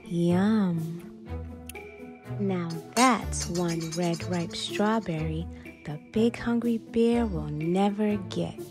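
A woman reading a children's picture book aloud expressively, dramatically stretching words and dropping into breathy whispers. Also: lowish voice, very slow, music in the background. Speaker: storytime reader and channel host.

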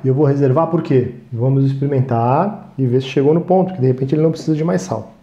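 A man in his thirties with a Brazilian accent explains a recipe in a chatty, viewer-friendly way. His voice is mellow and relaxed, and he is picked up by a lapel mic in a small, echoey studio kitchen. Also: medium pitch, fast talker, highs cut off. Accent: Brazilian Portuguese. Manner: chatty, talks to viewers as "gente", frequent "tá?" checks. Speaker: cooking show host. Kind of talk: cooking demonstration.

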